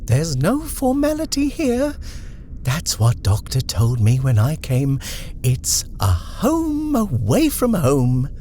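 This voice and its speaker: A man's voice: fairly high pitch, wildly swooping pitch, takes it slowly, mellow, a little hiss or hum, voice pushed up, heavily acted. A man putting on a barking, bossy older woman. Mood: brisk. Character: brisk, brusque middle-aged female housekeeper-driver.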